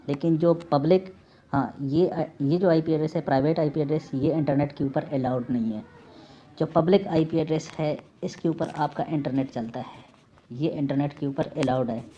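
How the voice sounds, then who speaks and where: A man's voice: a little high, dark tone. A man giving an informal tutorial as an instructor, repeating key terms and mixing in English technical words. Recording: dull lo-fi mic with faint noise.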